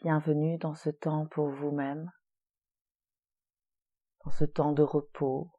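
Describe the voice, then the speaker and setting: Woman doing a guided relaxation, soft dark mellow voice, really slow w long pauses, bit muffled recording. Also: low-pitched, little pitch movement, husky. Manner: soothing, gentle, unhurried with long pauses. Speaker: yoga nidra relaxation guide.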